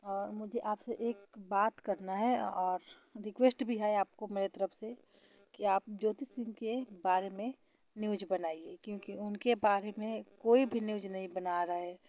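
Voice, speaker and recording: A woman's voice: mid-range voice, fairly even intonation, unhurried, dark tone. A woman, calling as a fan on a recorded phone conversation, speaking in an earnest, pleading and repetitive way. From Bihar, India. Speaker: caller, fan.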